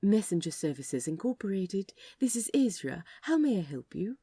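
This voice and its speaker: A woman's voice: medium pitch, big pitch swings, moderate pace, mellow, breathy edge, no echo, clean studio sound. A woman voicing a polite, fussy male office receptionist with a courteous, practiced phone manner in an audiobook. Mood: polite, professional. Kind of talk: audiobook character voice.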